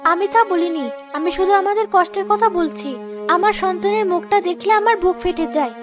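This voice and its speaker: Woman acting a very high-voiced, plaintive, worried wife. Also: brisk, music in the background. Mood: distressed, plaintive. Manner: reproachful, plaintive. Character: weary, worried wife of a poor honest porter. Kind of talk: animated folk-tale dialogue.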